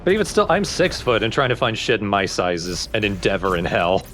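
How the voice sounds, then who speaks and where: A man's voice: fairly low pitch, sing-song, brisk, a bit bright. A man co-commentating on a game with wry, joking casual banter. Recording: faint background noise. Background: game sound.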